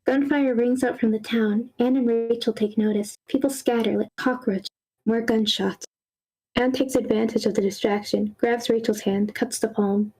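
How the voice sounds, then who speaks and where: Woman narrating a script at a table read, voice on the higher side, reads out stage action. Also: steady tone, normal speed, a little mellow, breathy edge, low-quality recording. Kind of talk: reading screenplay action lines aloud.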